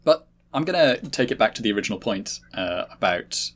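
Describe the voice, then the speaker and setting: A man's voice: lowish voice, expressive, fairly quick. A man explaining his points analytically in a casual podcast discussion. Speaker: podcast panelist.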